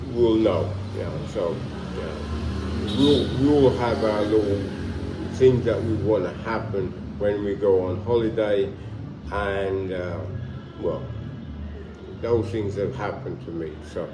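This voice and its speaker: Slow older London man in an echoey room. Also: lowish voice, noisy background. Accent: London English. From London, England. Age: 60s. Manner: rambling, stops and restarts, relaxed. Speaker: vlogger. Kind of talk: casual talk to camera. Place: warm room at home, in Colombia.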